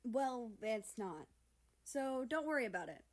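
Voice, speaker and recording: A woman's voice: a little high, lively intonation, a little slow, voice pushed up. A woman voicing an evasive young man in a storybook, reluctant and dismissive, guarding a secret.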